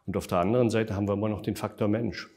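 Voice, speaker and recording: A man answering interview questions in a measured, factual way, with frequent hesitation fillers. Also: lowish voice, fairly even intonation, a little mellow, breathy edge. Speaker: interview guest, developer of an online tool.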